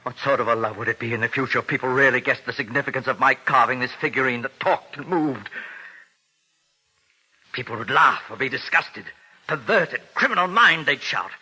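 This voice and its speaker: A man's voice: mid-range voice, sharp tone, husky, heavily acted, poor audio. A man playing an obsessed, desperate ventriloquist, theatrical and bitter, in vintage radio drama style. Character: obsessed, desperate male ventriloquist and puppet carver, tormented. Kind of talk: 1940s-50s radio drama acting. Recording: old radio broadcast.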